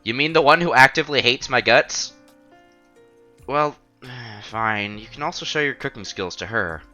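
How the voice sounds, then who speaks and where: A man reads a visual novel aloud for a stream, mixing narration with casual asides. His voice is bright and forceful, and his delivery is playful. The sound is a little dull and lo-fi, with music playing behind him. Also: mid-range voice, moderate pace. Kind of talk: reading story text aloud with casual commentary.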